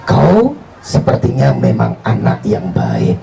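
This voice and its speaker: Man playing a gravelly, sly, coaxing fellow. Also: rumbling low, huge pitch swings, slow, music in the background, a crowd in the background, heavily acted. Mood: conceding. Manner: coaxing, wheedling, theatrical. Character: sly mustached man tempting children to cheat. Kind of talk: live children's storytelling.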